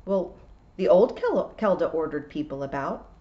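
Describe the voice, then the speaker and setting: A woman's voice: deep voice, animated, moderate pace. A woman voicing a small, earnest and frustrated young apprentice witch, plaintive and questioning, on a slightly dull recording. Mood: pleading.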